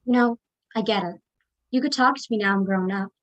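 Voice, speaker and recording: An actress playing a young college-bound daughter, wounded but resolute, in a staged play reading. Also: female voice, medium pitch, moderate pace, dull audio, natural voice. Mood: reassuring, knowing.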